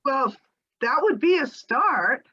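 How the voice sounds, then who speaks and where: Readers theater sketch, actress as probing, patient lady therapist; high, varied intonation, slightly muffled lo-fi audio. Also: sing-song, bright, forceful tone, exaggerated voice. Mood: mediating. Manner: measured, mediating, questioning. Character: patient, probing female marriage counselor. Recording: dull lo-fi sound.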